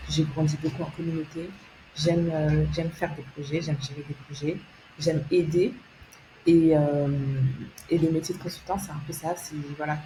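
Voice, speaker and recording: A woman's voice: low voice, warm tone, slight background noise, rough recording. A young woman from France, a guest telling her story in an interview, hesitant, with many fillers and restarts, laughing easily. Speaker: guest, tech founder and consultant.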